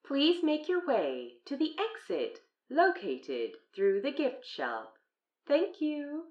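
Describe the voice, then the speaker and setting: Through a dull, echoing PA speaker comes a woman acting as a polite aquarium announcer. She is high-pitched and slow, with a formal, singsong delivery. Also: wildly swooping pitch, exaggerated voice. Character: polite aquarium public-address announcer at closing time. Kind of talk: public announcement in an audio story. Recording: dull, echoey public-address sound.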